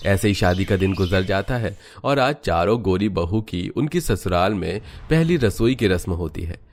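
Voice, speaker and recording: Casting: male narrator for animated family tales, lower-pitched voice, scripted storytelling. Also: normal speed, slight background noise.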